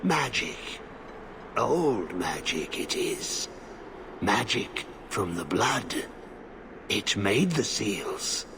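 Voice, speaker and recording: An actor playing a half-mad, haunted older Grey Warden in a video game, gravelly, muttering in broken, repeated phrases. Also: male voice, lowish voice, big pitch swings, background noise, full character voice. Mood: cryptic, halting. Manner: halting, muttering, fragmented repetitive phrases. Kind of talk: video game dramatic acting.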